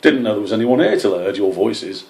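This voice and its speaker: A man's voice: on the low side, lively intonation, fast, vocal fry, darkish tone. A man voicing a chummy, casual mole in a story, with a matey, offhand way of talking. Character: chummy, casual male mole popping through tunnel walls.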